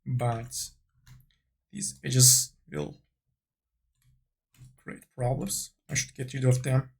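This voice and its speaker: A man with a gravelly, husky voice gives an unscripted software tutorial. He talks slowly at an average pitch, thinking aloud in a tentative way as he works through each step. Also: fairly even intonation. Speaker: 3D modeling tutorial instructor.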